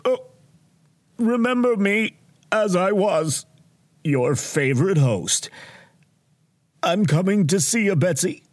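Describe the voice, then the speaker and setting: An actor playing a grandiose, sentimental radio host in an audio drama, with a pompous, melodramatic delivery. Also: male voice, high voice, expressive, slow pace, pauses often, no room sound, heavily acted. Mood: melodramatic anguish.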